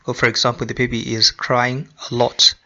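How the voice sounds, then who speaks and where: A man teaching English grammar, repeating example sentences slowly with frequent ums. He is from Nigeria. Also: mid-range voice, completely flat delivery, sharp tone. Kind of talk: slow, deliberate grammar lesson. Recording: dull lo-fi sound.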